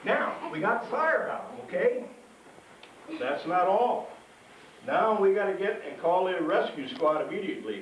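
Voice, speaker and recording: A man presenting as a firefighter, teaching children step by step in a live demonstration and often checking they follow. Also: a little high. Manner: instructive, step by step, checking with "okay?". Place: live show before children. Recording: noisy echoey room, distant mic.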